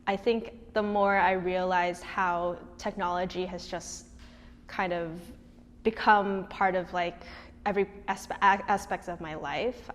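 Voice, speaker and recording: A woman's voice: on the low side, a little hiss or hum. A young woman from Hawaii speaking in a documentary interview, talking conversationally with many fillers and restarts. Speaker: tech mentor and interviewee. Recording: long reverb.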